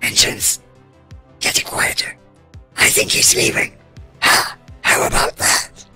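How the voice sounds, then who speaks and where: A man playing an excitable, mouthy robot with a raspy voice, speaking bluntly in an amateur comic dub. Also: slow, faint music behind. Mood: wry. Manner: indignant, blunt, exclamatory.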